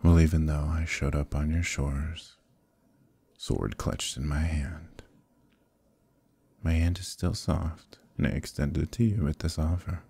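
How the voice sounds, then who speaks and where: Man voicing a very deep, gravelly evil knight. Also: steady tone, no echo, exaggerated voice. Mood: soft coaxing. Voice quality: gravelly, husky, low. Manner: measured, deliberate, quietly persuasive, pausing often. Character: evil conquering knight, calm, menacing yet coaxing man. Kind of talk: ASMR roleplay, slow intimate dramatic monologue.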